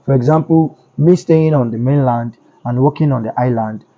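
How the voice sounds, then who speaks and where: A man's voice: mid-range voice, normal speed. A man from Lagos with a Nigerian accent, answering questions in a casual street interview, frank and explanatory, often repeating himself for emphasis. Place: outdoors on a street. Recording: dull lo-fi audio.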